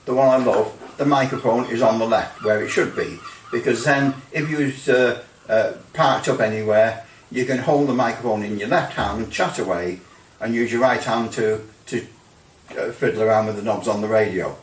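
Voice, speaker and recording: A man's voice: medium pitch, normal speed. A man giving a casual hands-on demonstration of radio equipment, rambling and hesitant with grumbling asides. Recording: roomy, distant mic, faint noise.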